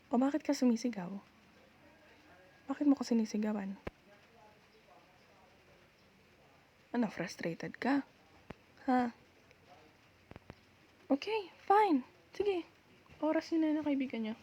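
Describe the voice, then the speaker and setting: A woman acts out a young Filipino girlfriend in an ASMR roleplay, pouty and nagging. Her voice is high and soft-edged, with a husky breathiness. The recording sounds somewhat dull and muffled. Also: lively intonation, a little slow, pauses often, heavily acted. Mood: irritated, demanding, then sulky, resigned. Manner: scolding, pouty, rhetorical questioning. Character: nagging, sulky young girlfriend fed up with partner's gaming.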